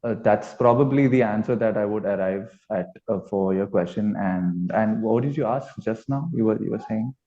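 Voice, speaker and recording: A low, soft-edged male voice with an Indian accent, speaking conversationally in an interview. He thinks aloud and circles around his point, searching for words. The recording is dull and slightly lo-fi, taking the shine off his mellow tone. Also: moderate pace, hardly any pauses. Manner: reflective, rambling, searching for words. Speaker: band musician being interviewed. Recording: dull, lo-fi audio.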